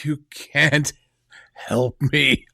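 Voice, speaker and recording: An actor playing a mortally wounded man in an audio drama, weak and gasping, raspy, halting, with dry wry humour. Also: on the high side, steady tone, sharp tone, no room sound, full character voice. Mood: urgent, fading. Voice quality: raspy, gravelly.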